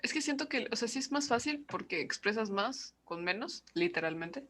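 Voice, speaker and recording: A woman's voice: fairly high pitch, lively intonation, normal speed, a bit bright. A young woman student, talking casually in class and rambling with many fillers. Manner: rambling, full of "o sea".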